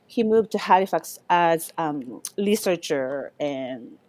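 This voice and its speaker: A middle-aged woman from Japan, a chef speaking as a guest in a relaxed interview, halting and often restarting her sentences. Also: low-pitched, no room sound. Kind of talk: casual interview chat.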